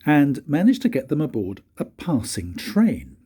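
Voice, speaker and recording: An older man, a grandfather, reading a children's storybook aloud in an expressive, unhurried way with dramatic pauses. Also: mid-range voice, husky. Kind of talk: storybook narration.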